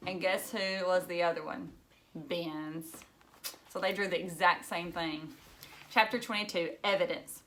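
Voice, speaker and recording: A woman's voice: lowish voice, steady tone, unhurried, a bit bright, a little hiss or hum. A woman reading a children's novel aloud expressively, like a teacher narrating to pupils.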